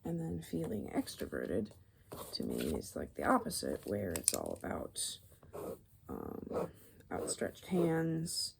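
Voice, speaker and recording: Slow, creaky-voiced ASMR woman. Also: very deep voice, huge pitch swings, noisy background. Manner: hesitant, musing, thinking aloud while drawing. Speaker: ASMR video creator. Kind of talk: ASMR, soft rambling explanation.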